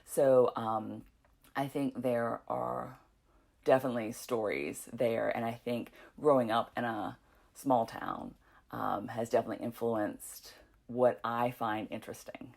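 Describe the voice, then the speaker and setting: A woman's voice: a bass voice, steady tone, a little slow, creaky, a little mellow. A woman from North Carolina, a documentary filmmaker and professor, speaking in a podcast interview and explaining her ideas with everyday examples.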